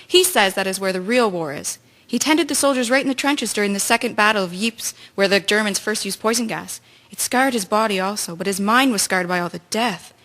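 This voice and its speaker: A woman's voice: medium pitch, a little fast, hardly any pauses, a bit bright, close to an everyday voice. A woman playing an earnest wartime nursing sister in a radio drama, confiding news to a colleague.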